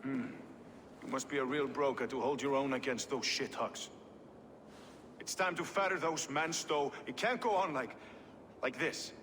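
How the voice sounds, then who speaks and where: An actor playing a wary, sardonic medieval town reeve in a video game, with a gruff, curt, cutting manner. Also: male voice, mid-range voice, moderate pace, pauses often, fairly bright tone, a little hiss or hum, natural voice. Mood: bitter, wary. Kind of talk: video game cutscene acting. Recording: long hall reverb, faint ambience.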